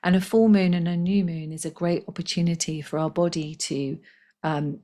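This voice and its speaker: A woman with a slightly croaky voice, hosting a live spiritual healing session in a gentle, chatty way and reading out viewers' comments. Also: on the low side, steady tone, moderate pace. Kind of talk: casual live-stream talk.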